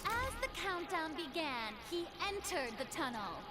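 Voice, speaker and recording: A woman's voice: very high voice, big pitch swings, measured pace, over music, heavily acted, poor audio. A woman playing a haughty, dramatic young lady prosecutor in a video game, speaking in a smug, theatrical way. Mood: smug, accusing.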